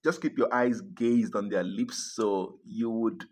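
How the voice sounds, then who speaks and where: A man's voice: on the low side, steady tone, takes it slowly, a little mellow, a little hiss or hum. A man vlogging about moving abroad, talking casually to camera, emphatic, repeating his points and chuckling often. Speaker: relocation vlogger.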